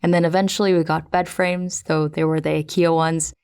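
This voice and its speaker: A woman's voice: low-pitched, level tone, brisk, a little mellow, clear, resonant tone. A woman from the Philippines narrating a podcast as host, reading scripted personal storytelling.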